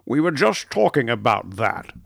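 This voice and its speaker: A man reading as a measured, gravelly professor. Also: mid-range voice, big pitch swings, fairly bright tone, dry recording, clean studio sound. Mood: reassuring.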